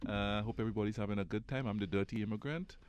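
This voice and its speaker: A man hosting a podcast interview, laid-back with a deep voice and a bit of island slang. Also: very fast. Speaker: podcast host. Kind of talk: casual interview.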